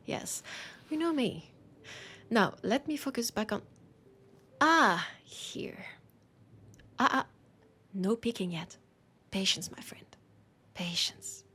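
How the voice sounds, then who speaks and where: Romantic bookseller for an intimate audio roleplay, played by a woman: French accent, unhurried breathy delivery, tender and teasing, music underneath. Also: mid-range voice, fairly bright tone. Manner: slow, breathy, whispery, tender and playful. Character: romantic, teasing female bookseller fond of a vampire customer. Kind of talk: soft intimate roleplay audio with poetic reading. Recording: music behind the voice.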